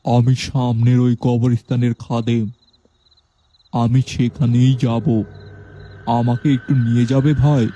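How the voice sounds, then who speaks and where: A man voicing a tall, stout male graveyard caretaker who speaks slowly and gravely, giving calm commands. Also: medium pitch, lo-fi sound.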